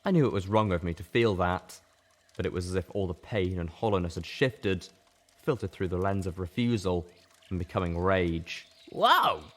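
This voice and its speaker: A man's voice: low voice, moderate pace. A man reading an audiobook as a dramatic, intense first-person narrator. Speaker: audiobook reader.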